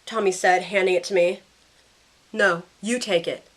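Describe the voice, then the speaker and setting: A woman's voice: mid-range voice, fairly even intonation, normal speed, close to an everyday voice. A woman playing a caring young heroine who narrates her own ordeal in a scripted first-person audio drama. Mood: pleading.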